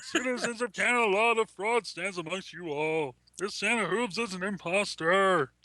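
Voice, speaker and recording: A man's voice: sits high, normal speed, sharp tone, no room sound. A man reading a fan story aloud in an amateur group podcast, mixed with casual banter.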